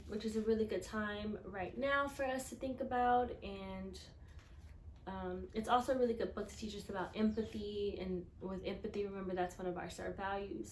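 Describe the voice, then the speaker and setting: A woman teacher reading a picture book aloud to children expressively, with deliberate, instructive asides and questions. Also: mid-range voice, slight background noise. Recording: echoey room.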